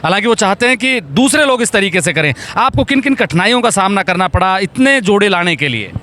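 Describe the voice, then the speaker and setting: A man's voice: high voice, sing-song, brisk, pushed and bright, slight background noise. A man working as a reporter, putting questions in a field interview.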